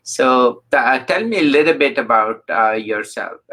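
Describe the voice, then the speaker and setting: A male talk show host with a mid-range voice. He asks his questions in a halting way, padding them with "uh" and "you know". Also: medium pitch, expressive, pushed and bright. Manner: halting, heavy fillers, repeated "you know". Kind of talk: hosting an interview.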